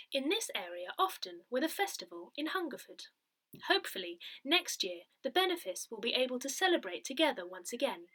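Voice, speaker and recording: A woman reading written articles aloud in a measured, clearly phrased way, as for an audio newsletter. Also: fairly high pitch, fairly bright tone. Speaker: newsletter reader.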